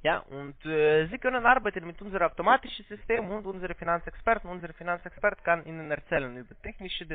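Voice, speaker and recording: High-pitched foreign-accented man on the phone. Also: no room sound. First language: not German. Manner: halting, broken grammar. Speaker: call-centre investment salesman. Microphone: telephone. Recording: muffled band-limited phone line.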